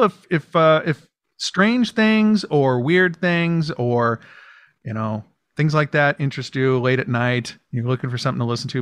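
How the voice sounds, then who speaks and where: A man's voice: mid-range voice, a bit bright, no room sound. Rambling man, dry close sound. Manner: rambling, many restarts and fillers. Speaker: podcast guest, host of his own show. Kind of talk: casual interview chat.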